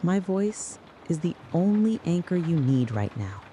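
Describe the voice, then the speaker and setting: A narrator delivering a scripted, dramatic late-night soliloquy in a deliberate, brooding way, speaking straight to the listener. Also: medium pitch, sing-song, warm tone, slight background noise. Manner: slow, deliberate, brooding second-person address.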